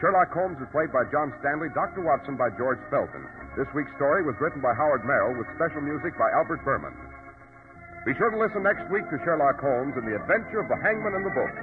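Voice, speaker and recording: A man's voice: a little high, lively intonation, fast talker. Male radio announcer: scripted, formal, showman's delivery, phone-like old recording over music.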